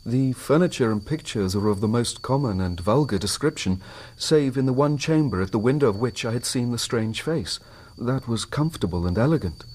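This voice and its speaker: An actor playing a troubled, proud Victorian husband in a radio drama, with a British accent and an earnest, formal, wounded manner. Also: male voice, medium pitch, lively intonation, a little fast, few pauses, darkish tone, slight background noise, lightly acted. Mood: agitated, suspicious. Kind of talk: radio drama acting, narrating his own story.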